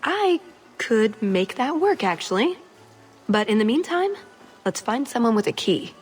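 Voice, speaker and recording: Wry, higher-voiced elf rogue. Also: female voice, sing-song, unhurried, slightly rough, faint music behind, exaggerated voice. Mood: brisk, scheming. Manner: casual, dry, cheeky. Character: wry, quick-witted, practical female elf rogue. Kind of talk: video game cutscene acting.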